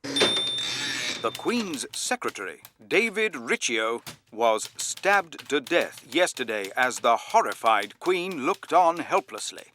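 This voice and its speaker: A man's voice: medium pitch, very animated, slow pace, pushed and bright, a little hiss or hum, full character voice. A man playing a formal, grave news reporter who declaims royal news in an audiobook. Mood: grave, urgent.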